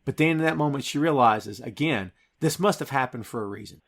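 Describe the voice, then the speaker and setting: A man's voice: medium pitch, lively intonation, a little fast, fairly bright tone. A man hosting a podcast, retelling a film scene by scene in an enthusiastic, emphatic conversational way. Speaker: podcast host.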